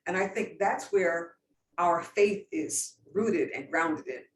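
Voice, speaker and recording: A woman's voice: fairly low pitch, slow. Lady pastor talking earnest on a panel, echoey room, mic kinda far. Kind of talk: panel discussion, storytelling. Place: event room. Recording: roomy distant sound with faint noise.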